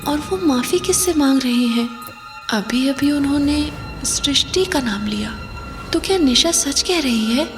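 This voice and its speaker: A woman's voice: sits high, expressive, measured pace, music in the background, voice pushed up. A woman voicing a timid, curious young college student in a horror audio drama, talking hesitantly and wondering aloud to herself. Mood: suspicious.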